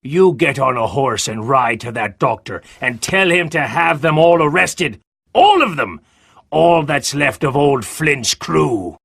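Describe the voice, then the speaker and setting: A man voicing a sick, fierce old sea captain who drawls, pleads and curses in a gravelly, husky voice. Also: a little high, sing-song, unhurried, fairly bright tone, no echo, clean studio sound, pitched above the performer's own voice, heavily acted. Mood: urgent.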